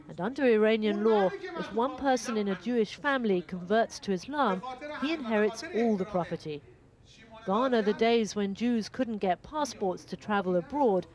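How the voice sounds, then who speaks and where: A woman reporter giving scripted documentary narration in a measured, even way. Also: medium pitch.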